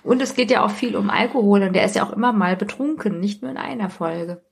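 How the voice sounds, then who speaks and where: Husky, creaky-voiced woman chatting casually. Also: mid-range voice, fast. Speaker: podcast guest. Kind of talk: casual chat, recounting.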